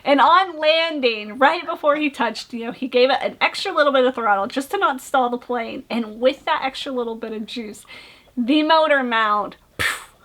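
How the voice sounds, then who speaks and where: A woman's voice: high-pitched, moderate pace, fairly bright tone. A young woman co-hosting a casual chat, telling stories in a chatty way and laughing often. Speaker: co-host of an RC hobby channel.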